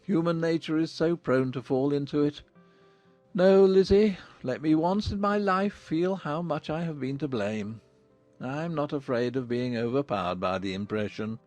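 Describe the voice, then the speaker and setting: A man acts out a middle-aged gentleman father in a period audiobook drama. He is sardonic and self-reproaching, measured and deliberate, over soft background music. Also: on the high side, expressive, natural voice. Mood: rueful, resigned.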